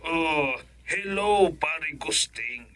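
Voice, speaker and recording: Radio comedy role: sly, boastful Ilocano Filipino husband, slow, drawling delivery, very animated pitch. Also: male voice, no echo, full character voice. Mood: sly, cajoling. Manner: boastful, cajoling, exclamatory. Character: money-obsessed scheming village husband, boastful gambler, sly tempter.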